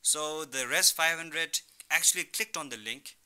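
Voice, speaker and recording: Bright-voiced young man from Thailand, explaining. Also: fairly high pitch, unhurried, clear, resonant tone. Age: young adult. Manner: explains with examples and frequent "you know". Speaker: entrepreneur guest, online business founder. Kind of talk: conversational radio interview answers. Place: radio studio.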